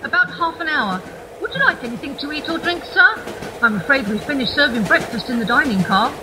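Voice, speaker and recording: A woman's voice: high voice, animated, a little fast, noisy background. A woman playing a courteous train conductor with a British accent in an amateur radio play. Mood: concerned, courteous.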